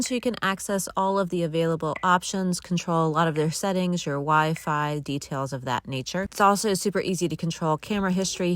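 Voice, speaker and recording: Low-voiced woman reviewing a gadget. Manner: upbeat, listing features one by one. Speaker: product reviewer. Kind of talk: product review voice-over.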